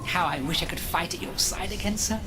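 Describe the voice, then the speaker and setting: A woman's voice: on the low side, fairly quick, close to an everyday voice, poor audio. A woman playing an eager, loyal young male soldier in a video game, earnest and admiring. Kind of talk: video game character acting. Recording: music behind the voice.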